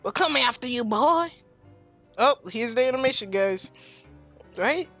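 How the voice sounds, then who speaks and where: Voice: mid-range voice, expressive, a little slow, a bit bright. A teenage gamer commenting casually while playing. The delivery is playful and slangy, reacting to the game, with faint music behind. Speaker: game streamer.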